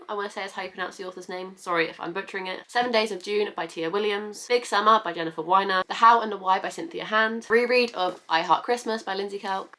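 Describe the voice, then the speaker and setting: A woman in her twenties with a cold, sounding congested, chatting casually on her book vlog and listing titles in a steady run. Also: mid-range voice. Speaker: book vlogger. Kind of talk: casual reading-recap vlog. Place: at home.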